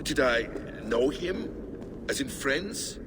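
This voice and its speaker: An actor playing a sly, glib Norse trickster god, evasive and flippant, in a video game cutscene. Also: male voice, mid-range voice, lively intonation, slow pace. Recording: reverberant hall, clear ambient noise.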